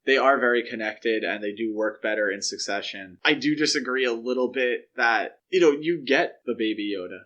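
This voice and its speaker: A man hosting a podcast in casual, opinionated discussion, talking in a conversational way with measured, hedged opinions. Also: medium pitch, fairly bright tone, lo-fi sound. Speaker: podcast host.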